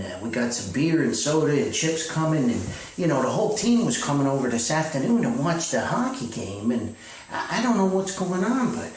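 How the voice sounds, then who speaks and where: Man playing a fretful, excitable, self-important author. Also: mid-range voice, heavy reverb, far from the mic, slight background noise, close to an everyday voice, poor audio. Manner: rambling, stammering, fretful. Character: deluded, ever-optimistic self-styled world famous male author, fretting. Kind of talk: amateur comedy skit monologue. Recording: old audio extracted from video.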